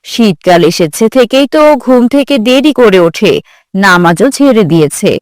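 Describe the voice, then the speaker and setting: Gentle mother played by a woman, dry sound. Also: mid-range voice, expressive, moderate pace, dry recording, lightly acted. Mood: resigned, concerned. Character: worried mother of a young boy, gentle. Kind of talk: children's moral cartoon dialogue.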